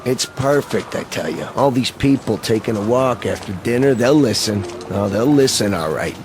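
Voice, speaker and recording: A man's voice: medium pitch, lively intonation, normal speed, background noise, natural voice. A man acting in a radio drama as the confident, eager right-hand man of a fanatical leader, urging and assured.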